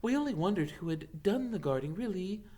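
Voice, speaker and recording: Man voicing a high, coaxing young schoolgirl. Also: fairly quick. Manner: flattering, inquisitive.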